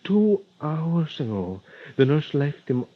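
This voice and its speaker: An actor playing an earnest, grieving doctor with a Spanish accent, speaking formally and haltingly in vintage radio drama style. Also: male voice, on the high side, big pitch swings, full character voice. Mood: solemn, grieving. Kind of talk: 1940s-50s radio drama acting. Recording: dull vintage radio recording.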